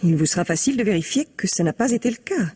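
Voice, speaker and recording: An actress playing a composed, guarded married woman in a French radio mystery, speaking in a measured, formal, carefully worded way. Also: medium pitch, animated, a little mellow, husky. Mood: composed, defensive.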